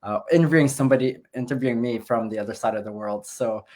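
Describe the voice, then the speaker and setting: A man's voice: mid-range voice, fast, a little mellow. A man in his thirties, a guest in a casual video-call interview, talking in a friendly, rambling way with many uhs. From Canada. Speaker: guest, nurse and business owner.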